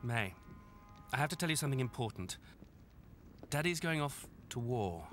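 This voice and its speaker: A man playing a tender, sorrowful father in a video game cutscene, speaking gently and solemnly. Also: medium pitch, animated, normal speed, a little hiss or hum, close to an everyday voice. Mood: grave, regretful.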